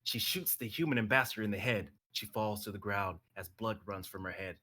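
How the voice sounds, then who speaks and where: A man's voice: lowish voice, fairly quick, sharp tone, husky. A young man on a live stream, reading his own dramatic script and chatting casually about it. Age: young adult.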